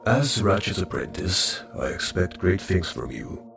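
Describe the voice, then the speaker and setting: An actor playing a formal senior robot medical official in an audio drama, measured and ceremonious, with a gravelly voice. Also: male voice, deep voice, very animated, fairly bright tone, full character voice. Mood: solemn. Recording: roomy, distant, music underneath.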